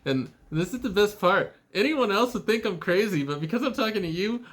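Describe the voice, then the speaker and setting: A man giving a casual, rambling video message to a friend, sounding a little tired and out of it, halting and teasingly irreverent, with some swearing. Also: sits high, animated, fairly bright tone. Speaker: spiritual vlogger.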